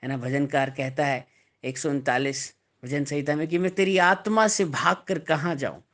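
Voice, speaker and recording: A man's voice: a little high, steady tone, fairly bright tone. Emphatic male preacher. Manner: emphatic, deliberate, repeats and explains phrases. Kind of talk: sermon with scripture readings.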